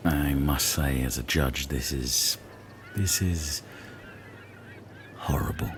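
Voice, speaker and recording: A man's voice: a bass voice, slow pace, faint music behind, voice pushed down. A man voicing a pompous, pedantic male talent show judge who speaks with disdain. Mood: disdainful.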